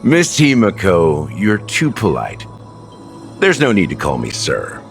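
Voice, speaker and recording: Low, laid-back wry investigator. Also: male voice, huge pitch swings, a little slow, vocal fry, exaggerated voice. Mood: wry, welcoming. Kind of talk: anime game voice acting. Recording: loud game music behind. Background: loud game music.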